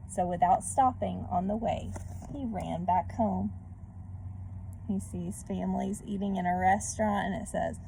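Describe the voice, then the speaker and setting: A woman reading a storybook aloud to children in a gentle manner, pausing to put questions to her young listeners. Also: fairly high pitch, gravelly. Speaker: read-aloud host for children. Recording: background noise.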